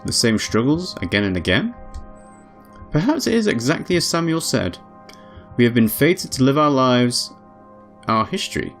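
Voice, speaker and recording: A man of medium pitch narrates a visual novel for a let's play. His delivery is expressive and swings widely in pitch, moving between dramatic reading and casual asides. Faint music plays behind him. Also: gravelly.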